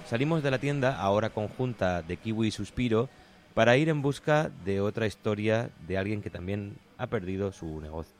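Low-pitched man from Spain hosting radio. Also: lively intonation, normal speed. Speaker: radio co-host. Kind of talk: documentary radio interviewing and narration.

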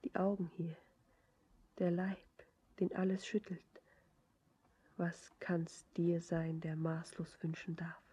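An actress playing a despairing, devoted young bride in a poetic radio drama, speaking in halting whispers. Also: female voice, lowish voice, little pitch movement, mellow, exaggerated voice. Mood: anguished, resigned. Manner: breathy, halting, whispered verse delivery. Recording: dull lo-fi radio recording.

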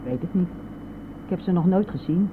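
A woman's voice: fairly low pitch, animated, normal speed, lightly acted. An older woman playing a curt, stubborn village mother-in-law in a radio play, answering tersely and defensively. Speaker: radio drama actor. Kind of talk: Dutch radio play acting. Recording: tinny narrow-band old radio recording, vehicle noise.

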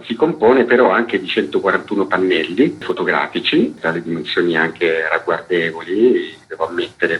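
Deep-voiced man, academic, dull sound. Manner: academic, formal wording. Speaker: archaeologist and exhibition curator being interviewed. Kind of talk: explanatory radio interview.

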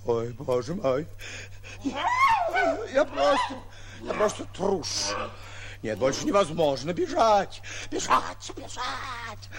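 A grandiose, cowardly would-be hunter in an old radio comedy. The voice swoops wildly from low to very high, creaky at times and drawn out, with theatrical flourish. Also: male voice, huge pitch swings, slow pace, exaggerated voice. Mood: terrified, pleading. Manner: theatrical, stammers when frightened. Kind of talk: 1940s radio comedy acting. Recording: old 1940s radio recording.

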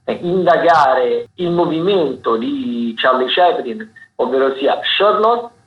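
A man presents listings on the radio in an informal, wandering style. His voice sits at an average pitch, and he stumbles, backtracks and corrects himself as he goes. The sound is a little dull, with clear room echo around the voice. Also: lively intonation. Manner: halting, digressive, self-correcting. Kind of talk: informal spoken radio listings of upcoming shows. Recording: roomy, slightly dull radio broadcast sound.

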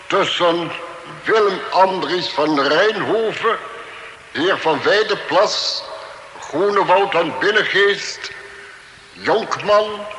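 A man reads out a formal proclamation very slowly and solemnly. His voice is high and bright, and it rings through a large hall with a long echo. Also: a little hiss or hum. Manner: slow, ceremonial. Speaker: official announcer. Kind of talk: radio drama proclamation. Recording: echoey hall, long reverb.

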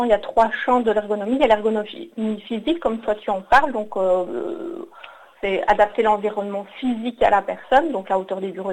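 A woman's voice: medium pitch, a little mellow, poor audio. A woman in her thirties from Belgium, speaking as a radio interview guest, telling her story hesitantly with many fillers. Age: 30s. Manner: hesitant, frequent "euh" fillers and restarts. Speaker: guest, work psychologist. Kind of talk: radio interview, personal account.

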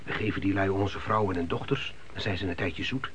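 An actor playing a chatty, scornful young emigrant son in a radio drama, speaking colloquially with repeated words. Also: male voice, deep voice, brisk, lightly acted. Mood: eager storytelling. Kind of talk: naturalistic radio drama dialogue. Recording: hissy old radio play recording.

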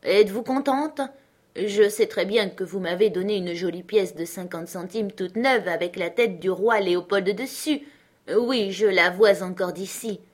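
A woman's voice: mid-range voice, a little slow, close to an everyday voice. A woman voicing a fussy, grumbling housemaid who scolds and exclaims, in a storybook reading. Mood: placating.

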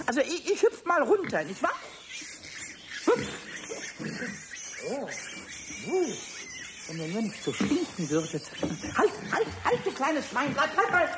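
A man voicing a cheeky, mischievous little male kobold who giggles, stammers and chatters to himself in a 1970s radio play. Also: full character voice. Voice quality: high, squeaky and stammering. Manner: giggling, excitable, talks to himself. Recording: noisy 1970s radio play with farm animal sounds.

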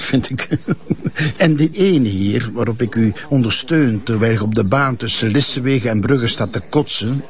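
A man's voice: medium pitch, vocal fry. An actor playing a smug, insinuating male informant turned blackmailer, with a Flemish accent. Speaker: actor in a crime drama. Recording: dull lo-fi broadcast audio.

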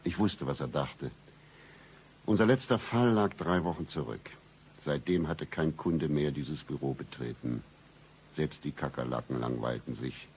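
An actor playing a world-weary, sardonic private detective who narrates a noir parody radio play in a brooding deadpan. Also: male voice, very deep voice, measured pace, slight background noise. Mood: gloomy, deadpan. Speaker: narrator and lead character.

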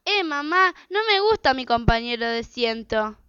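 A woman's voice: on the high side, lively intonation, normal speed, sharp tone, clean tone, no echo, highs cut off. A woman playing an outspoken, questioning young schoolgirl in an amateur radio drama. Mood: uneasy.

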